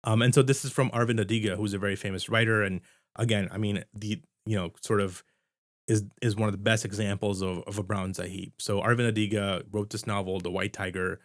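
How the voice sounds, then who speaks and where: Low-voiced man with an American accent. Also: steady tone, fairly quick, dry recording, clean studio sound. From India. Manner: rambling, many fillers and restarts. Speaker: video essayist. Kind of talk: casual commentary to camera.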